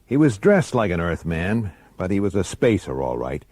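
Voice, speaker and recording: Gruff low-voiced noir detective. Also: male voice, very animated, moderate pace, a little mellow, no echo. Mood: uneasy, bitter. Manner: gruff, irritable, sardonic. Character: hard-bitten, resentful plainclothes police detective, narrator. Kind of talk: radio drama acting with first-person noir narration.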